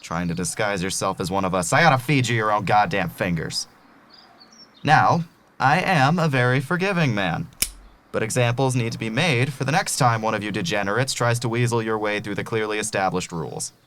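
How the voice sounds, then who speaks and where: A man's voice: on the low side, lively intonation, brisk, a bit bright. A man playing a menacing gang leader in an audio drama, taunting with falsely courteous, folksy threats.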